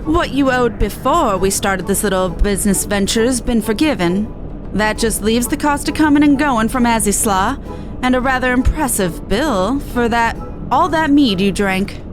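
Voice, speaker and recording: A woman's voice: a little high, natural voice. A woman playing a shrewd, demanding businesswoman in a fantasy game, with an assertive, knowing manner.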